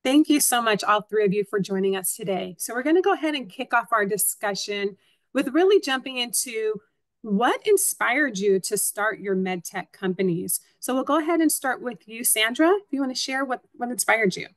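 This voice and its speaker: A woman's voice: on the high side, lively intonation, normal speed, dry recording. A woman in her thirties moderating a webinar panel, polite and formal. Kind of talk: scripted moderation.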